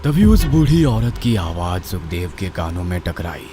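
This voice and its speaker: A man's voice: low-pitched, big pitch swings, moderate pace. A man narrating a scripted horror story in a dramatic storytelling style. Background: music.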